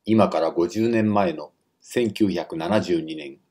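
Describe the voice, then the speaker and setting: A man's voice: low voice, slow pace, darkish tone. A man reading scripted story narration.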